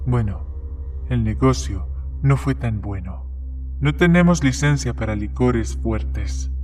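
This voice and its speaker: A man plays a plain-spoken bartender in an audio horror story. His voice sits at a middling pitch as he recounts grim events in a measured storytelling way, with faint music underneath. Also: darkish tone, natural voice. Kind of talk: dramatized short-story reading. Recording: music behind the voice.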